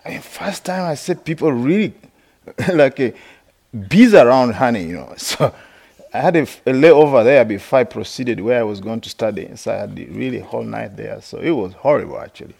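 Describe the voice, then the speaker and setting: A middle-aged man from Uganda, a Buddhist monk, giving a halting, explanatory dharma talk with many fillers at a meditation retreat. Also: medium pitch, expressive, gravelly, a little mellow. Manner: laughs while telling stories.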